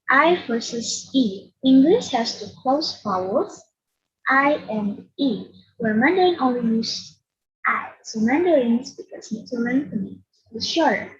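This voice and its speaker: A woman's voice: sits high, expressive, slow pace. A young woman with an Indonesian accent, a university student explaining slides in a class presentation and switching between English and Indonesian. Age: young adult. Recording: dull lo-fi recording.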